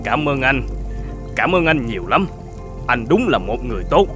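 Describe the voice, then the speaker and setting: An actor playing a polite, grateful young traveller in an animated folk tale, speaking earnestly. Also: male voice, medium pitch, moderate pace, music in the background, natural voice.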